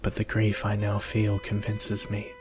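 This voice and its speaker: A man voicing a tender, devoted young woman in an earnest, gentle ASMR storybook reading. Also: on the low side, completely flat delivery, unhurried, over music, lightly acted. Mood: earnest, pleading.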